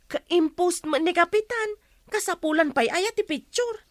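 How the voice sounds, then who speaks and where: A woman's voice: very high voice, animated, unhurried, no room sound, studio quality. A woman playing an eager, gossipy village woman in a comedy radio drama, chatty and exclamatory. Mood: nosy.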